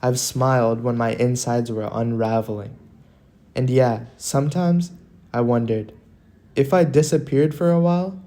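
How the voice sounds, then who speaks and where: A man narrating a scripted motivational monologue in an earnest, deliberate way, leaving dramatic pauses between phrases. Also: mid-range voice, mellow.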